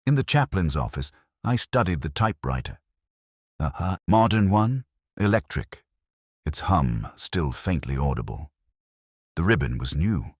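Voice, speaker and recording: A man playing a world-weary, erudite police inspector, narrating a mystery in brooding, literary, measured phrases. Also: a bass voice, slightly rough, no room sound, studio quality, full character voice. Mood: wry, somber. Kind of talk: scripted first-person mystery narration.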